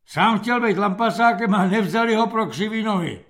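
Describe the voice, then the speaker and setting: A man reading aloud voices a gruff, sardonic army corporal warning young recruits. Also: high voice, expressive. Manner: dry, sardonic, weary.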